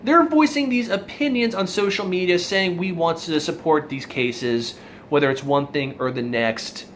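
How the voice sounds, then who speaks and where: A man's voice: a little high, normal speed, a bit bright, slight background noise. Exasperated rambling man ranting. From Florida. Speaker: vlog host. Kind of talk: ranting opinion monologue.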